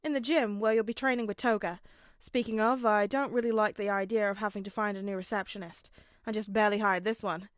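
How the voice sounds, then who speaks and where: A woman's voice: mid-range voice, fairly even intonation, fairly quick, dry recording, lightly acted. An easygoing underworld arms dealer man, read by a woman in a story. He talks in a wry, casual way. The sound is close and dry. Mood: wry, businesslike.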